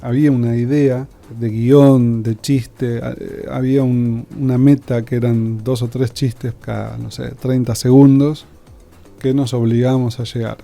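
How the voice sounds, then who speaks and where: Argentine guy reminiscing, super mellow warm voice, mid pitch. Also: flat delivery, measured pace, gravelly, soft background music. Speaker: radio scriptwriter. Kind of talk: interview reminiscence.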